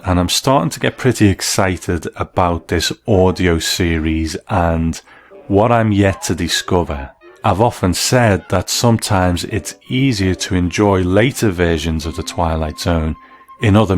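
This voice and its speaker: A man presenting a scripted review in a measured, reflective manner. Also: low-pitched. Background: background music.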